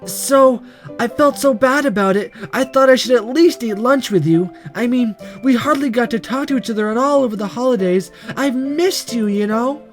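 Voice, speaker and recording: A man's voice: very high-pitched, normal speed, soft background music, pitched above the performer's own voice. A man voicing a bubbly, chatty, airheaded teenage schoolgirl while reading a visual novel aloud. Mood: pleading. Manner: gushing, chatty.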